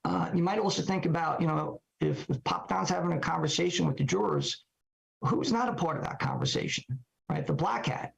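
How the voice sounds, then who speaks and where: A middle-aged man, hoarse while his voice recovers, talking reflectively on a podcast in a halting way full of fillers and questions to himself. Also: on the high side, sing-song. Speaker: trial lawyer podcast guest. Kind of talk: reflective solo talk. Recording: dull lo-fi podcast audio.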